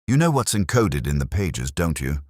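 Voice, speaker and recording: A man voicing a shrewd, controlled Victorian detective in a storybook reading, speaking in a dry, measured way. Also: rumbling low, sing-song, dry recording, heavily acted.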